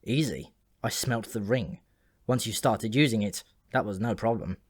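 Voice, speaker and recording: A man's voice: on the low side, normal speed, breathy edge, no echo, clean studio sound. A man voicing an ancient, tipsy sulphur dwarf in an audiobook, grumbling and rambling in a gloomy, grudgingly friendly manner. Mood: weary, complaining.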